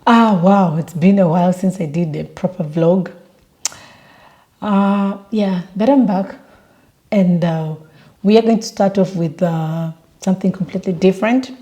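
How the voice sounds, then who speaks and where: A woman's voice: fairly low pitch, measured pace, warm tone, dull audio. A young woman from Uganda chatting casually in a travel vlog, with lots of fillers and restarts. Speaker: vlogger.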